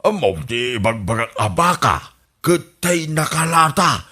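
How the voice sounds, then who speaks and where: A man's voice: on the high side, big pitch swings, a little slow, noisy background. A man playing a scheming old husband who keeps chuckling at his own plans in a comedy radio drama. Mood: sly. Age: older. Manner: chuckles constantly, sly and boastful. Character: scheming old husband running for village captain.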